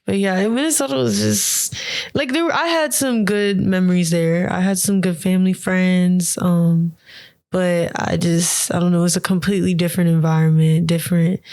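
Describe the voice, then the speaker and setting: A woman's voice: lowish voice, steady tone, normal speed, a little mellow. A young American woman from Georgia, a podcast guest telling laid-back stories full of slang and laughs. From United States. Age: young adult. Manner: laughing, loose storytelling with slang. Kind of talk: casual chat.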